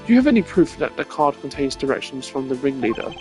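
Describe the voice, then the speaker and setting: Man voicing a slow, haughty old villainous ambassador. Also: medium pitch, very animated, over music, natural voice. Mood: dismissive. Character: haughty, evasive old foreign ambassador, smuggling ringleader.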